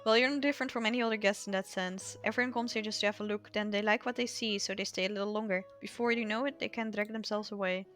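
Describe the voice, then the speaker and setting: A woman's voice: mid-range voice, steady tone, fast talker, hardly any pauses, a bit bright, natural voice. A woman reading aloud the game dialogue of a courteous, chatty gatekeeper, in polite, formal, wordy phrasing. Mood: polite, welcoming. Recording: music behind the voice.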